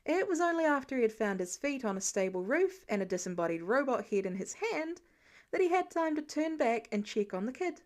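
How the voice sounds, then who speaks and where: A woman with a high-pitched voice narrates a piece of fiction. She reads the scripted prose expressively, with a wry storytelling touch. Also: big pitch swings, fairly quick, a little mellow. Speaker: amateur audiobook narrator.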